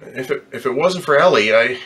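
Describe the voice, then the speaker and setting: A man's voice: mid-range voice, expressive, brisk, a bit bright, close to an everyday voice. A man playing an embittered, distraught ambulance driver in slangy, stammering old-time radio drama style. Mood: bitter, grumbling. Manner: slangy working-class talk, stammering when upset.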